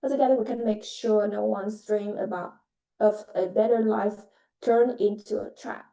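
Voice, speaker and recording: A young woman giving a project presentation in halting, hesitant English. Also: mid-range voice, fairly even intonation, creaky, dark tone. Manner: halting, hesitant phrasing in non-native English. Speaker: student presenter. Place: video call. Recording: roomy, distant mic on online call.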